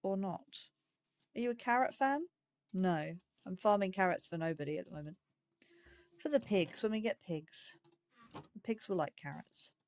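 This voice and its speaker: A woman's voice: medium pitch, lively intonation, normal speed, long pauses. A woman giving casual, chatty let's play commentary, thinking aloud with playful, cutesy wording.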